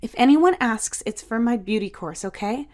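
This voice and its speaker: A woman's voice: a little high, animated, normal speed, darkish tone, voice pushed up, natural voice. A woman voicing a teasing, bossy but caring big sister, a young beautician, in breezy, coaxing audiobook dialogue.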